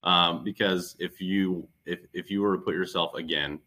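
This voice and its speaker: A man speaking as a guest recruiter, giving conversational career advice in a halting way, often rephrasing himself. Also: sits low, fairly even intonation, bright, forceful tone.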